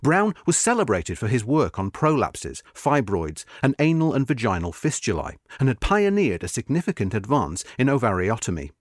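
Male narrator for magazine history feature: formal, measured, varied melodic intonation, dry close studio sound. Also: mid-range voice, sing-song, no room sound. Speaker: narrator reading a magazine article. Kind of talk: scripted narration of a history article.

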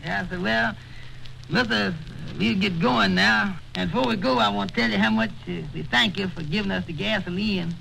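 A hissy old radio comedy recording carries a simple stranded motorist. His voice is high and very gravelly, and he speaks in hesitant, deferential, folksy phrases. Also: moderate pace, sharp tone, full character voice, rough recording. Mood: polite, hesitant. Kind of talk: 1940s radio comedy acting.

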